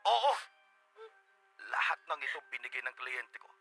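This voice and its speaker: A man's voice: medium pitch, big pitch swings, a little slow, gravelly, pushed and bright, soft background music, exaggerated voice. A man with a Filipino accent playing a defensive, pleading young boyfriend in a melodramatic Tagalog radio drama. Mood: defiant. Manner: melodramatic, emphatic.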